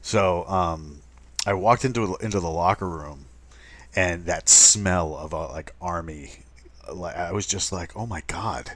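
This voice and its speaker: A man co-hosting a podcast, talking loudly with many hesitant fillers as he reads chat comments. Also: deep voice, a little slow, no room sound. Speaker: podcast co-host reading chat. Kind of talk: casual chat.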